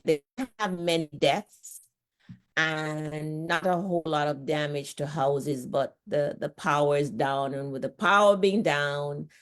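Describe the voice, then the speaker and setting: A low-pitched middle-aged woman with a Jamaican accent talks in a relaxed, conversational way. She often repeats words as she goes, like a nurse explaining things to a friend. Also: measured pace. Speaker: nurse and author, guest. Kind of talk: casual conversational talk.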